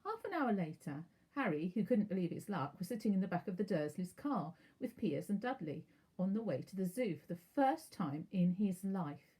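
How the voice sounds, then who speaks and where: Husky, mellow woman reading a storybook. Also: mid-range voice, big pitch swings, normal speed, poor audio. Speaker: teacher reading aloud. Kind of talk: children's storybook narration.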